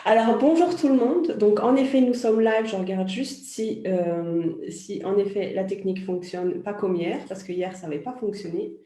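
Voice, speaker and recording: Woman hosting a live chat, warm mellow tone, roomy echo, lots of ums. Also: mid-range voice, moderate pace, few pauses. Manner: chatty, laughs often, many fillers. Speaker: host of a live interview.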